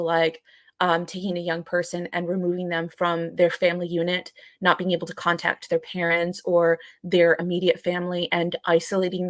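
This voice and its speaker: A woman's voice: fairly low pitch, dry recording, clean studio sound. A woman co-hosting a podcast, explaining points in casual discussion and often rewording them as she goes. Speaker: live podcast co-host. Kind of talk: casual explanatory discussion going through a list.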